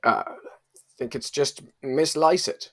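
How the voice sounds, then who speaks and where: A man's voice: medium pitch, slow, a bit bright. A man improvising and narrating actions in a comedy roleplay. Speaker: player in a roleplay podcast.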